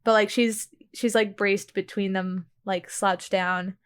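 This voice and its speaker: A woman's voice: medium pitch, steady tone, slow, clean tone. A woman playing a tabletop roleplaying game, casually improvising a description of what her character does. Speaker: player in a tabletop roleplaying podcast.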